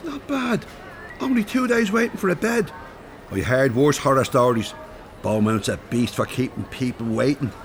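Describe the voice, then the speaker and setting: Bloke doing cheeky older irish chancer, blunt and teasing, voice swings up and down, slight noise behind. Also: male voice, medium pitch, lively intonation, normal speed, close to an everyday voice. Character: cheeky, blunt older working-class rogue and shoplifter. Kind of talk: audio drama acting.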